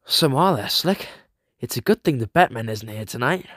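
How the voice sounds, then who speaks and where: A man's voice: mid-range voice, expressive, moderate pace, fairly bright tone, dry recording, studio quality. A man playing brash 1930s tough guys with blunt, slangy talk in a comic book audio drama. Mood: brash, scheming. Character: male 1930s gangsters and cops, brash, tough.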